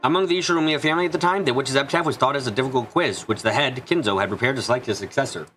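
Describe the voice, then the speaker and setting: Male fan-dub narrator for a visual novel: brisk pace, forceful bright tone, expressive read. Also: medium pitch, faint music behind. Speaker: fan dubber reading a visual novel aloud. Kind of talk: scripted narration with character dialogue, fan dub.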